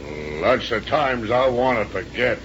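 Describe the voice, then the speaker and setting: An actor playing a gruff, simple, loyal reformed air pirate in a 1930s radio serial, halting and stammering, with uneducated grammar. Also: male voice, mid-range voice, expressive, a bit bright, dull audio. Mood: defensive, stubborn. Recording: hissy vintage 1930s radio recording.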